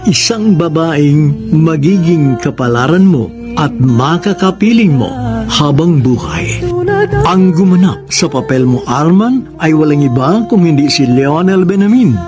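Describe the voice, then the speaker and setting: A man's voice: fairly high pitch, animated, measured pace. A man with a Filipino accent hosting a radio drama, giving scripted advice and credits in an earnest, counselling manner. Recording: music under the voice.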